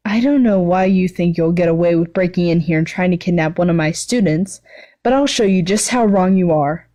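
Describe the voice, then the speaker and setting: A woman's voice: on the low side, brisk, mellow, lightly acted. A woman voicing a stern, protective adult male hero and teacher with a firm, challenging manner in a story reading. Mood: defiant.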